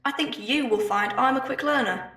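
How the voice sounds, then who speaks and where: A woman playing a very high-voiced plucky street girl. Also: moderate pace, fairly bright tone, distant mic. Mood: eager, explaining. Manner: cheeky, colloquial, keen. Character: plucky, sharp-witted young street girl, eager to prove herself. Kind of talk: audio drama acting. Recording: echoey room, off mic, faint noise.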